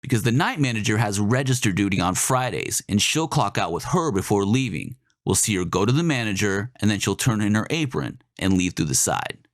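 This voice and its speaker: A man voicing a scheming young man laying out a plan in a lively, conspiratorial way. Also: on the low side, a little fast, no room sound, studio quality, voice pushed up.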